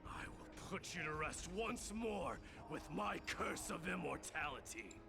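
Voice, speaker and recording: An actor playing a proud, grim immortal warrior prince in a video game, haughty, curt and menacing. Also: male voice, a little high, big pitch swings, measured pace, vocal fry, exaggerated voice. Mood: scornful, cold. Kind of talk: dramatic video game voice acting.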